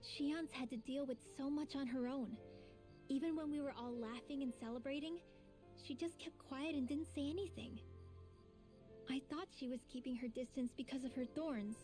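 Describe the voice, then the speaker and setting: A woman's voice: high-pitched, moderate pace, mellow, soft background music, close to an everyday voice. An actress playing a sensitive, self-reproaching teenage girl in an anime video game, earnest and emotional. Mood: regretful.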